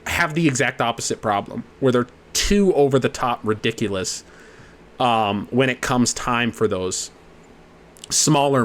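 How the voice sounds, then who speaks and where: A young man co-hosting a podcast, explaining at length in a casual way, swearing freely. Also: mid-range voice. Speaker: podcast co-host.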